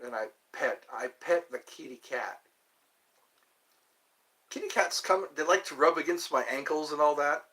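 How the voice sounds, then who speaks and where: A man's voice: fairly high pitch, a little slow, pauses often, sharp tone. A man in his fifties hosting a livestream, chatting casually with viewers in a rambling, joking, self-deprecating way. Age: 50s. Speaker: livestream host. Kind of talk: casual chat answering viewers.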